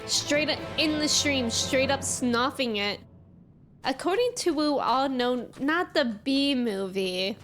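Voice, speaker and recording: A woman's voice: high-pitched, animated, slow pace, fairly bright tone, faint music behind. A woman hosting a game livestream, chatting casually in a playful, giggly manner. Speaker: game streamer and host.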